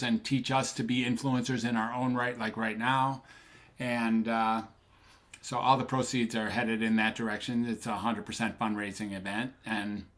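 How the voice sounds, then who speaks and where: A man's voice: mid-range voice, fairly bright tone. Rambling, upbeat older man. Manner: rambling, enthusiastic, many fillers and restarts. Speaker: podcast guest, psychiatrist. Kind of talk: casual interview chat. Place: Sacramento, USA.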